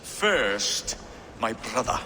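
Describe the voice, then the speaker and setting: A man's voice: mid-range voice, big pitch swings, extremely slow, bright, forceful tone, slight background noise, heavily acted. An actor playing a proud, stubborn, wrathful British king in a video game, haughty and blunt. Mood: cold anger. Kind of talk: dramatic video game acting. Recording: long hall reverb, music underneath.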